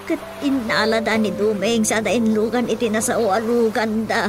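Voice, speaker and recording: A woman's voice: a little high, normal speed, natural voice. An actress playing a worried, talkative mother in an Ilocano radio drama. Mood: fretful. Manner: fretful, chattering. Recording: radio drama with music behind.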